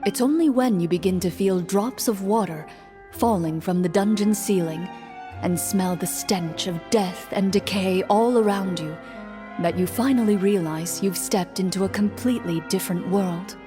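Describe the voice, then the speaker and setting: An actress playing a composed, serious young game master who speaks formally, in a measured and deadpan way. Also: female voice, mid-range voice, close to an everyday voice. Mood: solemn narration. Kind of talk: scripted game voice acting, narrating a tabletop game. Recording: echoey hall reverb, music behind.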